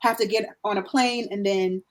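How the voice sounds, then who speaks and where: A woman from Guyana speaking as a guest in a casual podcast conversation, animated, with emphatic repeats and frequent laughs. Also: medium pitch, normal speed.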